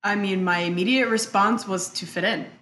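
A young adult woman, a native Spanish speaker, reflecting thoughtfully in an interview and talking in halting phrases full of fillers. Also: mid-range voice, fairly even intonation, a bit bright. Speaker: interview guest. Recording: echoey room, faint ambience.

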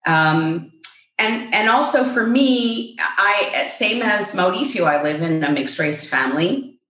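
A woman's voice: medium pitch, lively intonation, slow pace, pushed and bright, reverberant, rough recording. A woman in her late fifties from Puerto Rico, speaking thoughtfully as a guest on a panel, with many hesitations. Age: 50s. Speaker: guest consultant. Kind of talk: casual panel conversation.

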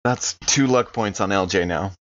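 A man's voice: sits low, moderate pace, fairly bright tone, dry recording, muffled recording. A man chatting casually as a player at a tabletop roleplaying game.

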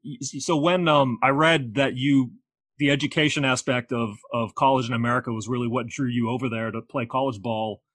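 A man's voice: medium pitch, fairly quick, no room sound. Dry-miked man asking easygoing questions. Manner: relaxed, affirming, asks rambling questions. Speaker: interviewer. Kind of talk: casual interview.

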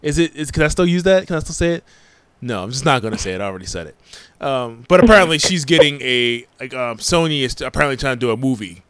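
A man's voice: medium pitch, expressive, fast, fairly bright tone, clear, resonant tone, dry recording. A man in his forties hosting a casual podcast chat, rambling through news items with lots of hesitant ums. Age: 40s. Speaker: podcast host.

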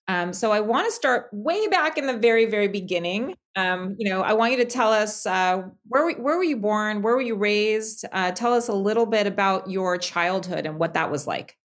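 A woman's voice: mid-range voice, expressive, moderate pace, few pauses. Appreciative woman podcast host. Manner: appreciative, hesitant with restarts. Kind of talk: interview questions.

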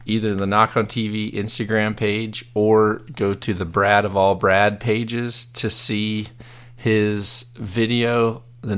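Hoarse man hosting a casual podcast. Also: lowish voice, fairly bright tone. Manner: rambling, many fillers and restarts. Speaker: podcast host. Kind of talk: casual live podcast chat.

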